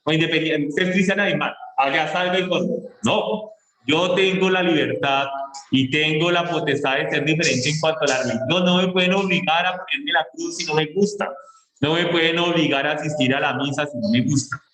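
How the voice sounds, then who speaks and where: A man's voice: fairly high pitch, a bit bright. Colombian man teaching with echoey sound. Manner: explains slowly, stretching key words. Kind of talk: online class lecture.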